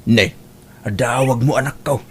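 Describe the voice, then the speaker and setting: A man with an Ilocano accent playing a protective, supportive older father in an audio drama, speaking in a measured, concerned way. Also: mid-range voice, noisy background, lightly acted.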